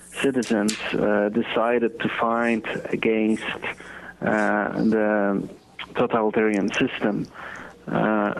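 A man in his thirties from Poland with a Polish accent, answering interview questions slowly and thoughtfully with many pauses and fillers. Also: fairly low pitch, a little hiss or hum. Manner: measured, halting, many fillers. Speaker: guest, foundation co-founder. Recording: dull lo-fi audio.

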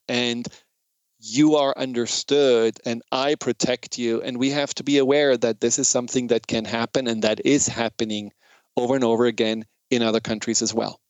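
Clear-voiced German-accented man giving a talk. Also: mid-range voice, dry recording, low-quality recording. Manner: earnest, reflective, explanatory. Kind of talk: informal educational monologue.

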